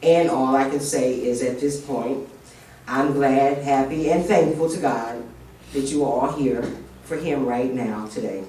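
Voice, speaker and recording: A man's voice: medium pitch, unhurried, mellow, a little hiss or hum. A man giving a formal memorial tribute in an earnest, reverent manner. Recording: echoey hall from far off.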